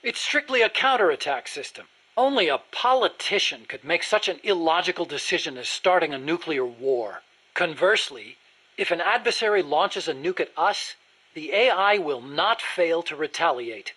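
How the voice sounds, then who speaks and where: An earnest American weapons engineer from a video game, speaks in a high, sharp-edged voice. He is nerdy and precise, eager to explain every technical detail. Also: lively intonation, normal speed, slightly rough, exaggerated voice, poor audio. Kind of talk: video game dialogue acting.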